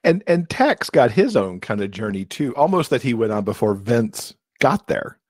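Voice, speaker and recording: A man's voice: mid-range voice, big pitch swings, normal speed, a little mellow, dry recording. A middle-aged man hosting a casual interview, asking questions into a close microphone. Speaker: podcast co-host, interviewer. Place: indoor room, on a video call. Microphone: close podcast microphone.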